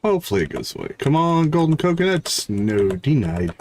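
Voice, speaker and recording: A man's voice: on the high side, very animated, a little fast, darkish tone, slight background noise. A man hosting a live game stream, thinking aloud in short casual fragments and chatting to viewers, with a slight catch in his throat. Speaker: livestream host, gamer.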